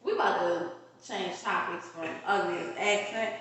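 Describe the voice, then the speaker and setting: A woman co-hosting a casual podcast, gossiping loudly with lots of slang. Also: on the low side, measured pace. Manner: loud, animated gossiping with slang. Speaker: podcast co-host. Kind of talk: casual chat. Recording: echoey room from far off.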